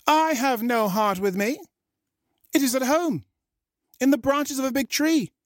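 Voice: sits high, measured pace, no echo, clean studio sound, voice pushed up. A storyteller voicing a clever, cheeky little monkey in a children's tale. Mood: pleading, sly. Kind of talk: storybook reading.

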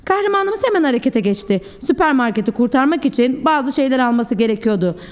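A woman with a high voice narrates a children's storybook. She sounds like a preschool teacher, expressive and theatrical, addressing young listeners. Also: a little fast.

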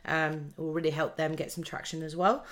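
Woman, lowish voice, podcast host just chatting away casually. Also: flat delivery, brisk.

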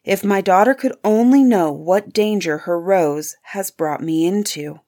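A woman's voice: mid-range voice, a little slow, warm tone, lightly acted. A woman voicing a frightened, humble merchant father in a storybook, courteous and pleading. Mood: despairing. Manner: imploring, courteous, anxious. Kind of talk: storybook character voice.

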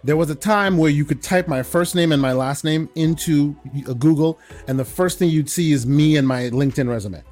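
A middle-aged man who grew up in the Netherlands, a guest telling stories in a relaxed way, laughing often and repeating phrases. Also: fairly high pitch, a little fast, few pauses, faint music behind. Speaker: podcast guest. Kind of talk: casual interview chat.

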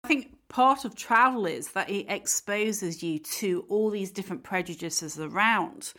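A woman hosts a podcast and steers the conversation with inquisitive questions. Her voice sits at a middle pitch. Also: unhurried, pushed and bright. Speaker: podcast host. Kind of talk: interview questions.

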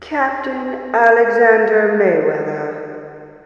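An actress playing a haughty, imperious lady crime boss, speaking formally through a loudspeaker in an audio drama. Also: medium pitch, expressive, takes it slowly, muffled recording, soft background music. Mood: cold, commanding. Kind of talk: audio drama villain acting. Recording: echoing, band-limited loudspeaker, long reverb.

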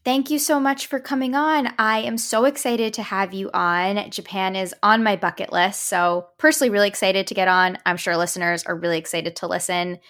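A woman's voice: medium pitch, fairly even intonation, fairly quick, few pauses, a bit bright. A woman hosting a travel podcast who talks in an upbeat, gushing way and praises her guest often. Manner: upbeat, gushing, many "I love that". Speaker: podcast host. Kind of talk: enthusiastic podcast interview.

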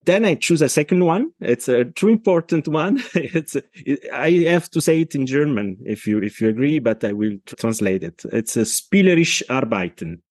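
Italian-accented man, halting interview guest. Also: mid-range voice, expressive, darkish tone, no room sound, studio quality. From Italy. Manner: halting, many restarts and repeated words. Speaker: podcast guest, consultant. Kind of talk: casual interview talk.

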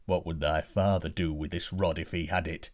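A man voicing a huge, wrathful male giant who speaks slowly in archaic phrases. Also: low voice, fairly even intonation, a little mellow, pitched below the performer's own voice, natural voice. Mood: menacing. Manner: slow, heavy, archaic speech.

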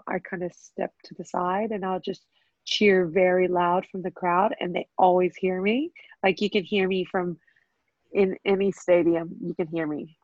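An American woman with a big, carrying voice talks about coaching fighters in a relaxed, chatty way, and her voice jumps up when she mimics cheering from the corner. The sound is dry and a little dull. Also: on the low side, dry recording. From United States. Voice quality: very loud, carrying voice. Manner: chatty, animated, bursts into loud cheering. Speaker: Muay Thai coach. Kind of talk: casual interview answers.

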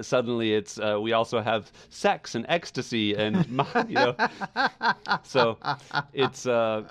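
A middle-aged man co-hosting a podcast in a casual, personal way, often restarting words as he feels out his point. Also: mid-range voice. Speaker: podcast co-host.

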